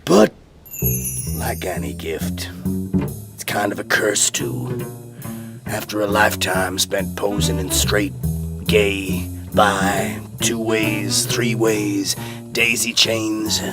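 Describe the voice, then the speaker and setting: An actor playing a bawdy, swaggering aging satyr, a brash fast-talking hustler in a comedy audio drama. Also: male voice, fairly low pitch, steady tone, over loud music. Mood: world-weary, bragging. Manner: brash, salesman-like, self-regarding.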